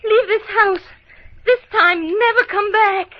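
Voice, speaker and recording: An actress playing a frightened, defiant estranged wife in a radio mystery, in a melodramatic, shrill manner. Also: female voice, takes it slowly. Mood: terrified defiance. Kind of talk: vintage radio mystery acting. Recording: dull lo-fi radio recording.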